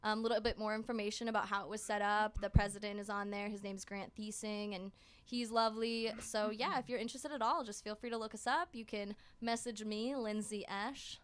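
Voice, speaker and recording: A woman's voice: mid-range voice, fairly even intonation, fairly quick, smooth. A young woman chatting casually as a student guest in an interview. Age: young adult. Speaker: student improv club guest. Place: small radio studio.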